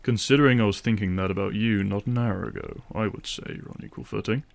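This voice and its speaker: A man playing a dry, terse vampire hunter in a fantasy audio drama, a gruff and reluctant ally. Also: fairly low pitch, fast talker, no room sound. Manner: deadpan, terse.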